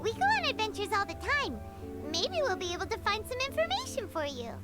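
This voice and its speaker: A woman voicing a tiny, eager, childlike fairy companion in a game, with a squeaky voice over loud music. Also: huge pitch swings, brisk, heavily acted. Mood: eager, helpful. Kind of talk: cartoonish game voice acting.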